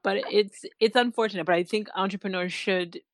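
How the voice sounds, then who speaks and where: A woman hosting a casual interview, relaxed and laughing often. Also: mid-range voice, a little fast, no room sound. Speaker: host.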